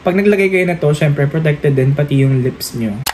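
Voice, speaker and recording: A chatty Filipino man in his thirties with a voice pitched a bit high for a man. He talks straight into a phone held at arm's length in a small room at home, with faint background noise. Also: normal speed. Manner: chatty, animated, laughing asides, mixing English into Filipino. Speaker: vlogger. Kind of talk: casual daily vlog chat. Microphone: phone camera at arm's length.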